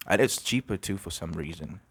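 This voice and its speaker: A young man from Brazil hosting an unscripted podcast, musing and halting, with many restarts. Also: low-pitched, slightly rough, no echo. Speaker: podcast host. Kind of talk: unscripted reflective monologue.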